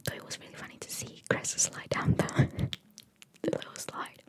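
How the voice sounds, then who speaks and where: A woman whispering in a breathy voice, reading trivia for ASMR and adding chatty, informal asides. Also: moderate pace. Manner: informal, chatty, reacting to what is read. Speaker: ASMR host reading video game trivia.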